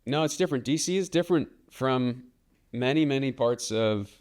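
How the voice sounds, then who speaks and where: Smooth-voiced thoughtful man, late thirties. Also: medium pitch, animated, a little mellow. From Washington DC area, US. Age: late 30s. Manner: measured, thoughtful, with frequent ums. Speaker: guest, real estate broker. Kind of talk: casual podcast interview.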